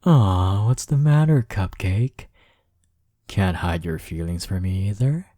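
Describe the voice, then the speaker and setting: Deep-voiced, cocky flirtatious college rival acted by a man. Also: lively intonation, slow pace, dry recording, clean studio sound, full character voice. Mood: playful, teasing. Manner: smug, flirtatious, drawling teasing with soft chuckles. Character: cocky, teasing male college rival smitten with listener. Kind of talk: intimate romantic audio roleplay.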